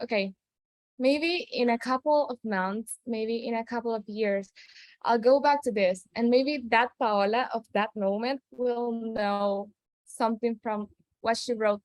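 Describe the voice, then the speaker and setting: A woman's voice: fairly high pitch, measured pace, dry recording. A woman from Venezuela whose first language is Spanish, earnestly telling a personal story on a video call, often restarting as she searches for words. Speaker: storyteller guest.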